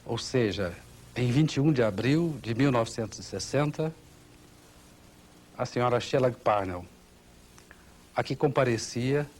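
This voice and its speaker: A Brazilian man delivering a formal documentary recollection, solemn and rhetorical, in short measured phrases. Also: mid-range voice, darkish tone. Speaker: engineering manager giving testimony.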